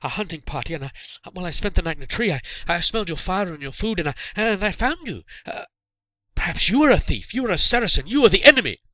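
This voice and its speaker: A man voicing a frightened, guilt-ridden medieval boy in a storybook reading, stammering and pleading in a halting way. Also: sits high, very animated, pushed and bright, no room sound, pitched above the performer's own voice, full character voice, poor audio. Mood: nervous, defiant.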